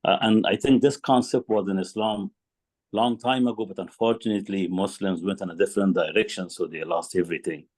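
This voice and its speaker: A man's voice: deep voice, fairly even intonation, fairly quick, a little mellow. A man whose first language is Farsi, making an earnest comment in a study session, hesitating often with ums and "you know".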